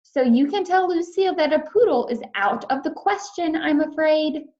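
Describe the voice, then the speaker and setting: A woman's voice: high-pitched, lively intonation, moderate pace, a little mellow, muffled recording, voice pushed up. A woman voicing a prim, snappish grandmother, haughty and condescending, in a children's storybook read-aloud.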